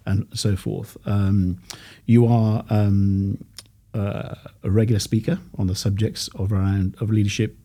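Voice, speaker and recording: Halting male host, low husky voice. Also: dark tone. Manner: halting, many fillers and restarts, self-deprecating. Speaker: podcast host. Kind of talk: interview introduction.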